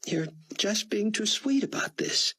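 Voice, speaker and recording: A man voicing a tearful, apologetic young woman in an audiobook, speaking softly and in near-whispers. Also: high voice, lively intonation, unhurried, slightly rough, pitched above the performer's own voice, full character voice. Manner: soft, whispery, teary. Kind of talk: audiobook character voice.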